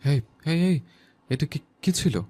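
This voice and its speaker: A man's voice: a little high, animated, slow pace, warm tone. A man playing a lovestruck, stubborn young teacher who talks intensely to himself in an audio story. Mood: shaken, stammering. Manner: intense self-talk, scolding and urging himself. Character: lovestruck, stubborn young male teacher driving himself through a sleepless night. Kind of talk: dramatised audio story acting.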